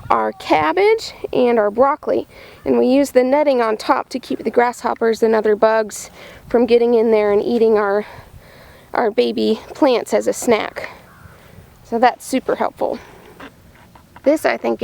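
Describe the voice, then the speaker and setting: A woman's voice: high voice, gravelly, darkish tone. A woman farmer giving an upbeat show-and-tell tour for preschoolers, explaining things in simple words. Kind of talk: simple child-directed show-and-tell narration. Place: vegetable farm, in growing tunnels and outdoor beds.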